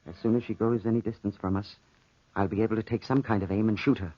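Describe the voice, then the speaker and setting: An actor playing a cruel, teasing soldier in a theatrical 1940s radio drama style. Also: male voice, fairly low pitch, fairly even intonation, fast, mellow, no room sound, close to an everyday voice. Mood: fascinated, amused. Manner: taunting, theatrical. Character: stranded soldier, cruel and teasing, fascinated by a panther. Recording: muffled vintage 1940s radio recording.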